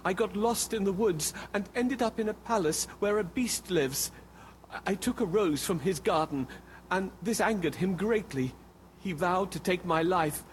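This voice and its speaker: Man as a pleading old merchant, high-pitched voice. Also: normal speed, a little hiss or hum, close to an everyday voice, low-quality recording. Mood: sorrowful. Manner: pleading, emotional, dramatic delivery. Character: anxious, loving old merchant father. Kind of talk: children's fairy-tale dramatization.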